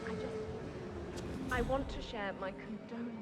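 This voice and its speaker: A woman's voice: on the high side, normal speed, very noisy, lightly acted. An actress playing an effusive society woman in a video game, with a theatrical way of talking. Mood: gracious. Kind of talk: scripted video game dialogue.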